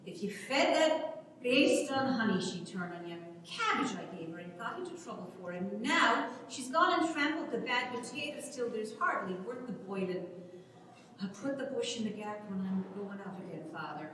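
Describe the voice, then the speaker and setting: A woman playing a brisk, plain-spoken young Irish farm daughter in a dramatic stage reading. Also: medium pitch, lively intonation, a bit bright, close to an everyday voice. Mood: brisk, grumbling. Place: large reverberant indoor hall, from far off. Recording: very echoey hall, distant mic, noisy.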